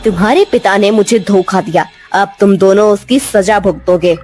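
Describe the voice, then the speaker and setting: A woman's voice: mid-range voice, normal speed, a little mellow, close to an everyday voice. Serpent villainess for a cartoon fairytale, played by a woman: vengeful, menacing, declamatory, over a music bed. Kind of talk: Hindi cartoon fairytale voice acting.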